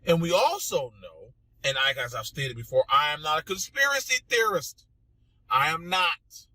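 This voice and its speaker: A man's voice: a little high, sing-song, moderate pace, sharp tone, no room sound, poor audio. A man hosting a political talk show, speaking straight to listeners in an emphatic, indignant monologue full of repetition and rhetorical questions. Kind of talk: opinionated political commentary monologue addressed to listeners.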